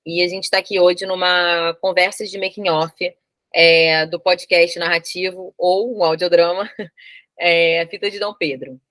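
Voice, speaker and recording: A woman's voice: lowish voice, steady tone, a little slow, a bit bright, no echo. A woman hosting an informal interview, chatty and a little hesitant in her phrasing.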